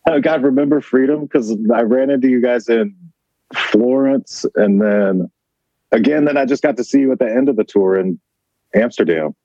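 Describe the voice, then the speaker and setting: A man's voice: medium pitch, normal speed, gravelly, mellow, no room sound, lo-fi sound. An American man hosting and interviewing in a casual, conversational style. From Portland, Oregon, US. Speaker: podcast host and interviewer.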